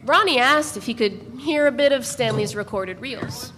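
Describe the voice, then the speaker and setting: A woman's voice: fairly high pitch, normal speed, bright, forceful tone. A woman narrating a literary novel in a scripted dramatic reading. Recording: reverberant hall with background noise.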